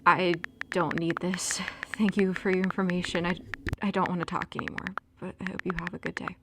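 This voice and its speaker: A woman's voice: on the low side, flat delivery, brisk, noisy background, lightly acted. A young woman playing an anxious, wounded girlfriend in an audio drama. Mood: hurt, distressed. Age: young adult.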